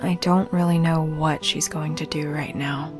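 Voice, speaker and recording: A woman's voice: sits low, fairly even intonation, normal speed, music in the background, pitched below the performer's own voice, natural voice. A woman voicing a serious, tough but considerate young necromancer in a visual novel read aloud, speaking plainly and earnestly. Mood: resolute.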